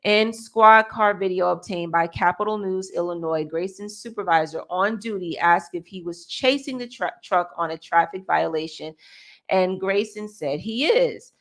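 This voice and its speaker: A woman's voice: on the low side, fairly bright tone. An American woman reading a news article aloud as a co-host, with casual asides and the occasional stumble over words.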